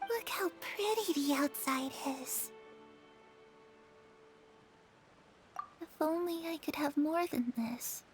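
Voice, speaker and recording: A woman playing a disillusioned young orphan girl in a video game, measured and resigned, with music behind her. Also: sits high, lively intonation. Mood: wistful.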